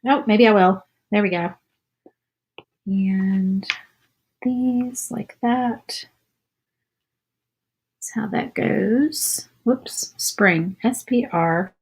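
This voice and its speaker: A woman's voice: medium pitch, very slow, long pauses, slight background noise, poor audio. Chatty Southern woman crafting on camera. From Virginia, USA. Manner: chatty, friendly, thinks aloud while working. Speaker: craft vlogger. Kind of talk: casual chat while painting, reading live comments. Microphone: phone or camera close by.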